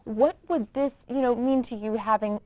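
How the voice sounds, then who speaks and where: Woman, talk show co-host, interviewer, brisk, friendly. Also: a little high, warm tone, dry recording.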